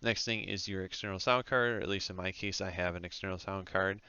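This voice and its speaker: A man's voice: deep voice, steady tone, brisk, pushed and bright, clean tone, dry recording, lo-fi sound. A man hosting a casual step-by-step tech tutorial as a voice-over, speaking informally with frequent hesitations. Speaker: tutorial host.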